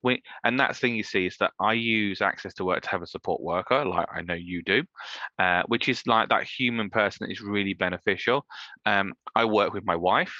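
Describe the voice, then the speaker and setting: Guy in his 30s, deep voice, rambles and restarts a lot, dry dull recording. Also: fairly quick, pushed and bright, no echo. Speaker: guest, entrepreneur. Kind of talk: casual interview chat.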